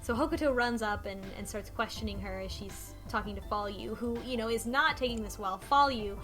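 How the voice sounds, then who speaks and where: A woman's voice: on the high side, a little fast, fairly bright tone, soft background music. A woman co-hosting a podcast, casually retelling a story from notes in an informal, sweary, mock-dramatic way. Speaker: podcast co-host recapping a game.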